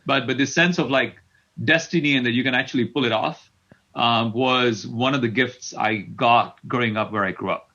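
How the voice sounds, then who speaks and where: A man's voice: medium pitch, moderate pace, bright, forceful tone, dull audio. A middle-aged man from Kenya answering as an interview guest, repeating words as he thinks aloud. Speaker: tech company CEO, interview guest.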